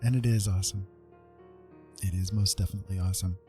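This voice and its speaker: A man's voice: low-pitched, fairly even intonation, fast talker, mellow. A man chatting casually and laid-back on a livestream, thinking aloud as he paints. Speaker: streamer, digital painter. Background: piano music.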